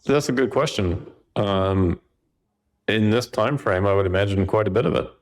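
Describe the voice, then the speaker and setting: A man in a casual analytical discussion, measured and tentative as he reasons through figures aloud. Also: lowish voice.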